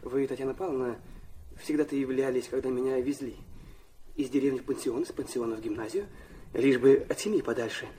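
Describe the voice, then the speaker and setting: An actor playing a proud, wounded and defiant young son in a theatrical audio drama, bitter and impulsive. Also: male voice, mid-range voice, fairly quick, dark tone, natural voice. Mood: sarcastic, resentful. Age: young adult. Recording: faint noise and ambience behind.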